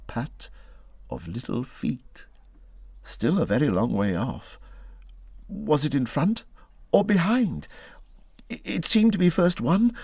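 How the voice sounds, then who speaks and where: A man reading a classic children's animal story as scripted narration, in a dramatic, expressive style that moves between hushed and urgent. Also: on the high side, no echo. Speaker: audiobook reader.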